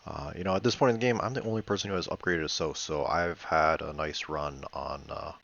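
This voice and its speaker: A man's voice: extremely low pitch, fairly quick, vocal fry, a bit bright, highs cut off. A man giving casual commentary on a board game replay, thinking aloud in a hesitant, matter-of-fact way. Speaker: board game player reviewing own replay.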